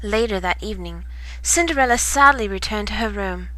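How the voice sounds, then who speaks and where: A woman reading a children's bedtime story in expressive storybook narration. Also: medium pitch, fairly quick, dry recording, rough recording.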